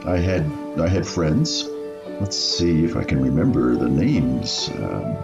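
A man's voice: rumbling low, very animated, slow, creaky, full character voice. Man acting old man, radio play reminiscence, storytelling manner, very varied intonation, echoey room. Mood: nostalgic. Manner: storytelling, hesitant while remembering. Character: old man reminiscing on his refugee childhood in Shanghai. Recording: muffled, roomy, music underneath.

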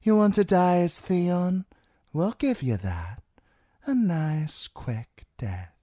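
A man voicing a mocking, menacing, bawdy washerwoman in an audiobook, with drawn-out colloquial taunts. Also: high-pitched, animated, dark tone, no room sound, studio quality, voice pushed up, full character voice. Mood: mock-tender menace. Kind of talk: dramatic audiobook character acting.

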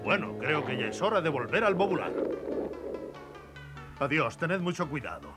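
A man's voice: a little high, very animated, moderate pace. A man voicing a kindly, decisive old gnome captain in a cartoon, with a commanding manner. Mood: calm, thoughtful. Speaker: cartoon character voice actor. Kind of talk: animated cartoon dubbing. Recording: music behind the voice.

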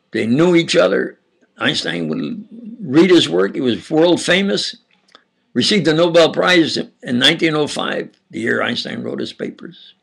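An older man with an Upstate New York and New Jersey accent, giving a halting, insistent monologue lecture with sudden loud emphasis. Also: mid-range voice, creaky, breathy edge. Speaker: self-styled preacher lecturing on camera.

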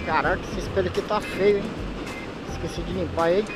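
A man talks in a relaxed, slangy way while he rides a motorcycle outdoors. The sound comes from a helmet-mounted camera mic. Music plays clearly underneath his voice. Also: sits high, fast. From Manaus, Brazil. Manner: chatty, laid-back slang, frequent laughs. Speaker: motovlogger. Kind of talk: casual motorcycle vlog commentary. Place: riding a motorcycle on city streets outdoors.

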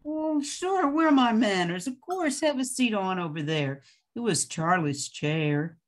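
A woman's voice: mid-range voice, animated, a little slow, natural voice. An older woman playing a homely, hospitable widowed grandmother in an amateur radio play. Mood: polite, caring.

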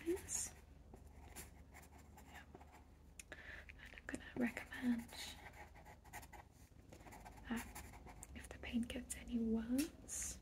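Young woman, hushed whisper, close-miked, gentle reassuring examiner, ASMR roleplay, softly attentive. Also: fairly high pitch, low-quality recording. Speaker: ASMR performer playing an eye examiner. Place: small room indoors. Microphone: close mic, leaning in near the camera.

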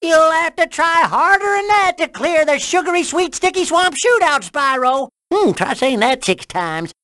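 A woman's voice: extremely high pitch, lively intonation, normal speed, a bit bright, dry recording, clean studio sound. A woman playing a folksy, playful honey-country game host with a drawling Southern US accent, cheerfully teasing. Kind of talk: scripted video game character dialogue.

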